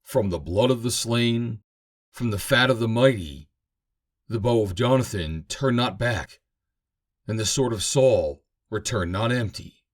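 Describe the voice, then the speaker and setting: A man's voice: medium pitch, animated, unhurried. A man giving a solemn, theatrical, emphatic reading of scripture as a narrator. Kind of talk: dramatic scripted reading of King James Bible.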